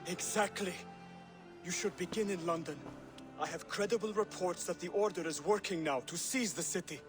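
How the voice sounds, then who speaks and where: Actor as high-pitched, earnest young assassin scholar. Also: male voice, music in the background, natural voice. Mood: grave, persuasive. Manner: measured, formal, instructive. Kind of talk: video game cutscene acting.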